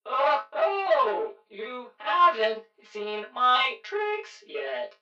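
A man voicing a shy old porcelain boy automaton magician, speaking slowly, gently and playfully. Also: extremely high pitch, big pitch swings, dull audio, faint music behind, voice pushed up, heavily acted. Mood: playful gentle.

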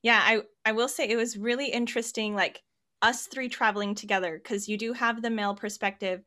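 A woman's voice: a little high, fairly even intonation, brisk, bright, forceful tone. A woman from the United States hosting a podcast, talking in an easygoing, reflective way. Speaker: podcast host. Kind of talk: casual podcast chat.

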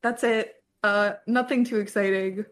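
A woman in her twenties chatting casually with a friend as a podcast co-host, slangy and quick to swear. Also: mid-range voice, steady tone. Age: 20s.

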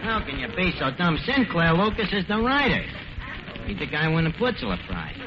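An actor playing a brash, self-important tavern manager in a 1940s radio comedy, slangy bad grammar and mock-grand words. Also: male voice, high voice, very animated, fairly quick. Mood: indignant. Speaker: comedy show host. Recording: dull, noisy old radio broadcast.

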